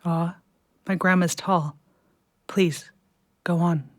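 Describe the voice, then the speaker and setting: A woman playing a defiant, weary teacher held prisoner in an audio play, hushed and urgent. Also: fairly low pitch, steady tone, takes it slowly, no echo, studio quality. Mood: hushed, kind. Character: defiant imprisoned female teacher, wary and weary.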